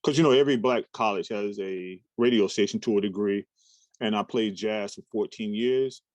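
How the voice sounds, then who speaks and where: A man's voice: fairly low pitch, normal speed, no echo. A man in his fifties, a talent manager, chatting casually in an interview and telling long, meandering stories full of fillers and restarts. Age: 50s. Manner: rambling, relaxed storytelling with many fillers and restarts.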